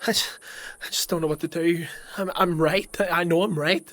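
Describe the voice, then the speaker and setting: A young fanatic with a high-pitched voice, stumbling over his words and pleading with fervour. He is distraught and eaten by guilt, in the manner of a dark fantasy audio drama. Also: steady tone, normal speed, no echo. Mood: pleading, confiding. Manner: stammering, impassioned.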